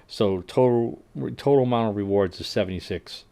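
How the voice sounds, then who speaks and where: A man with a fairly low voice talks through game update notes in a loose, livestream way. He reads the notes, stumbles over numbers with fillers and restarts, then drops dry little asides that fade to a mutter. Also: moderate pace, smooth. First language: English. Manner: reads notes, then adds wry asides that trail off quietly; frequent fillers and restarts while working out numbers. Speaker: livestream host. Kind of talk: casual commentary reading game update notes aloud.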